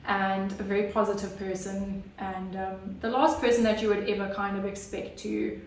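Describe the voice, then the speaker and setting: A woman's voice: medium pitch, level tone, normal speed, slight background noise. Earnest woman in an echoey room. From Zimbabwe. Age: 30s. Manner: earnest, hesitant, many fillers. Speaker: guest. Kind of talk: personal story in an interview. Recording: roomy, distant mic.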